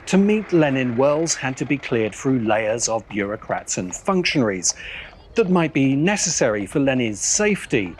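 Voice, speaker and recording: A man delivering scripted documentary narration as a video essay host, in a deliberate, dramatic storytelling manner with pauses for emphasis. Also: mid-range voice, a little hiss or hum. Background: background music.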